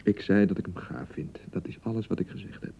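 An actor with a Dutch accent playing a young newlywed husband in a radio drama, placating and easily exasperated, with a hesitant way of talking. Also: male voice, low-pitched, fairly quick, vocal fry, warm tone, dull audio, a little hiss or hum. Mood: soothing, weary. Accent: Dutch (Netherlands). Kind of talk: naturalistic radio drama acting.

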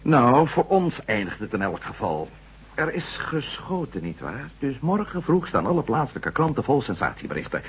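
Wry ex-astronaut reporter in old radio sci-fi play, swoopy animated voice, muffled hummy tape. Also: male voice, medium pitch, normal speed, husky, heavily acted. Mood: wry, exasperated. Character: impulsive, wry male ex-astronaut turned reporter, adventurous. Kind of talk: Dutch science-fiction radio drama acting. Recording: dull, noisy old radio recording with hum.

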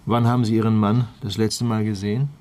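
A man's voice: fairly low pitch, level tone, fairly quick, mellow, slight background noise, lightly acted. An actor playing a male police detective who questions in a matter-of-fact, probing way in a German crime radio play. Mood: businesslike.

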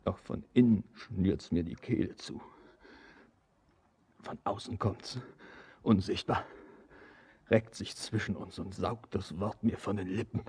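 An actor playing a haunted, tormented man gripped by dread, speaking in halting, feverish, poetic radio drama style with a husky, breathy voice. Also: low-pitched, darkish tone, heavily acted. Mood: haunted, hushed. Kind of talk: intense poetic German radio drama acting.

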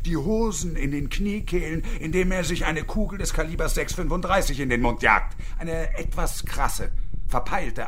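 A man's voice: mid-range voice, background noise, lightly acted. An actor in his fifties playing a bitter, sarcastic unemployed engineer turned hostage-taker in a radio drama, domineering and theatrical. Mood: bitter, brooding. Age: 50s.

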